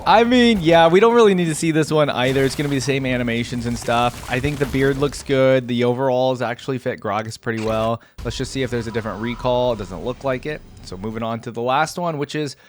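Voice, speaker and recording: A man's voice: mid-range voice, fairly quick, hardly any pauses, a little hiss or hum. A man giving casual reaction commentary in a conversational way, thinking aloud as he goes. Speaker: gaming video creator, reactor. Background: music.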